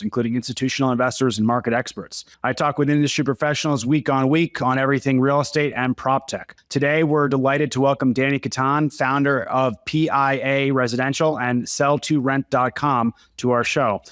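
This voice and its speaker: A man's voice: mid-range voice, no room sound. Male podcast host, close dry sound. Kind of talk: scripted show introduction, then casual interview.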